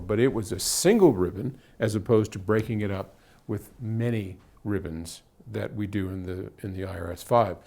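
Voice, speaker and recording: Older American man, low-pitched explainer. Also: a little mellow. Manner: conversational, explains technical detail step by step. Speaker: audio company founder and host answering viewer questions. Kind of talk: casual explanatory talk.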